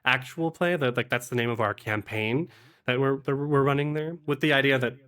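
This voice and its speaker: A man's voice: medium pitch, a little fast, sharp tone. A man talking casually as a podcast guest, conversational, sometimes voicing imagined remarks as he explains. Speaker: podcast guest, actual play game master and producer. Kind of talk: casual interview chat giving advice.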